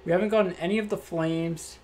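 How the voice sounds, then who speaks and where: Male host, a bit raspy, higher-pitched, easygoing card-break patter, faint room noise. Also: brisk. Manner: relaxed, matter-of-fact, thinks aloud. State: slightly raspy voice. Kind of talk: casual card-opening commentary. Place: indoor room at a card table.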